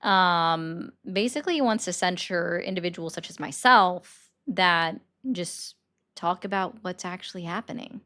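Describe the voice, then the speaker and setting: A woman in her forties hosting a casual call-in livestream, talking briskly and directly with callers. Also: fairly low pitch, bright, forceful tone.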